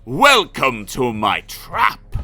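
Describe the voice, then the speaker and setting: A storyteller voicing a gleeful male villain in a children's story, gloating loudly and drawing words out. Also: mid-range voice, wildly swooping pitch, soft background music, heavily acted. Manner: loud, drawn-out, gloating delivery.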